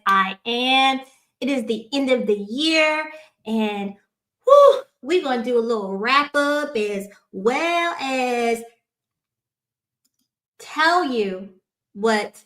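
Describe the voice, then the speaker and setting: A woman in her forties hosts a relaxed online show from home. Her voice sits high and bright. She talks slowly, playful and full of banter over a video call. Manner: lively, playful, Southern-style "girl" and "y'all" banter. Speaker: podcast host. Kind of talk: casual livestream chat.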